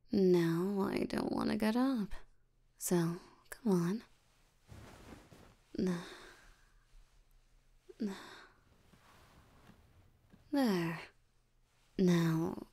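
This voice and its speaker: A woman playing a lazy, sleepy, ancient demon in an ASMR roleplay, drowsy and unbothered, with a soft tired voice. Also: medium pitch, full character voice. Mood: sleepy, content. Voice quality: soft, breathy, creaky tired voice. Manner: drowsy, slow, drawling, unbothered. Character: sleepy, lazy female sloth demon, ancient but youthful.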